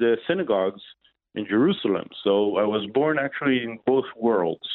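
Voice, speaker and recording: An Israeli man in his forties, heard over a thin, tinny phone line with a dry, close sound. He speaks at a middling pitch, answering questions slowly and hesitantly, pausing often to search for words. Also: no echo. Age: 40s. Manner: hesitant, many pauses and "uh" fillers. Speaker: radio interview guest, ministry leader. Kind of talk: casual radio interview answers.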